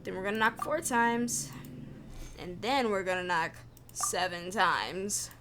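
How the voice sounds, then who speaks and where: A woman's voice: medium pitch, takes it slowly, background noise. A woman streaming a game, thinking aloud in informal, muttered commentary to herself, with music behind her.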